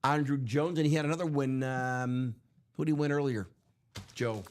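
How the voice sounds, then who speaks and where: A man hosting a live card break stream, chatting loosely with many fillers, his voice going hoarse. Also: medium pitch, fairly even intonation, normal speed. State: losing his voice. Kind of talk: casual live stream chat.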